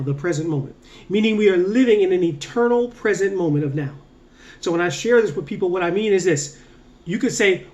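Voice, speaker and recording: Guy coaching folks on mindset, pretty high voice, earnest and repeats himself, stretches the last words out slow. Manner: earnest, repetitive, emphatic with drawn-out slow endings; energetic, rising on key points. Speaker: mindset coach and motivational speaker. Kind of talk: casual motivational talk to camera.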